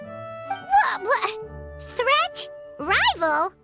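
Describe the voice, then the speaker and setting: A woman voicing a tiny, childlike floating fairy companion in an anime game, squeaky and exclamatory. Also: huge pitch swings, very slow, vocal fry, music in the background, full character voice. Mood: startled. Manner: exclamatory, speaks of self in third person.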